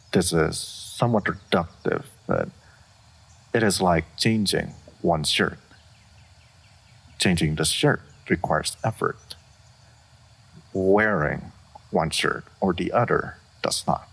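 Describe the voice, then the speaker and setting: A man playing an aloof dragon in human form, speaking formally and with deadpan reserve in a fantasy roleplay audio. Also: deep voice, vocal fry, husky, full character voice. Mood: measured, explanatory. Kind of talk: scripted fantasy roleplay audio addressed to the listener.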